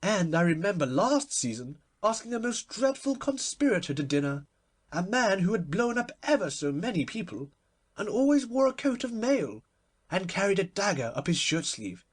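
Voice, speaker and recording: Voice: medium pitch, sing-song, normal speed, husky, pitched above the performer's own voice. A performer voicing a gushing, witty, imperious society hostess in a theatrical, emphatic manner for a storybook reading. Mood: gushing, lively.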